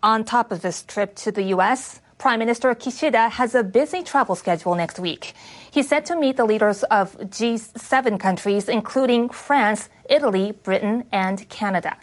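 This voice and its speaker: A woman's voice: on the high side, moderate pace. A woman reading scripted international news for radio as a newsreader. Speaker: news reader.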